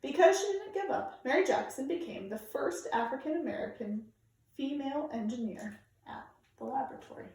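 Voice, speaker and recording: Woman doing a kids read-aloud, kinda high voice, super echoey room, bit far from the mic. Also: animated, brisk, darkish tone. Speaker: teacher reading a picture book aloud. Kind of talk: read-aloud storytelling for children, informal introduction. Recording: very echoey room, distant microphone.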